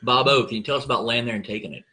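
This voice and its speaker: A man's voice: medium pitch, very fast, slight background noise. A man around forty, a local news reporter, chatting on a live show with dry wisecracks. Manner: dry, wisecracking, relaxed storyteller. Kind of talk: casual live show chat.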